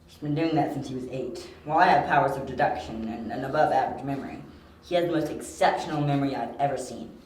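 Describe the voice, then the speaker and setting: A man's voice: medium pitch, fast talker, darkish tone, slight background noise, natural voice. A man playing a young, confident detective in an amateur audio drama, explaining things precisely. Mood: thoughtful. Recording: roomy amateur home recording.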